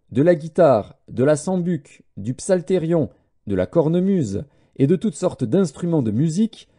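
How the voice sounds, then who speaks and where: A man's voice: on the high side, mellow. A man voicing a stern royal herald proclaiming decrees in a scripture audiobook.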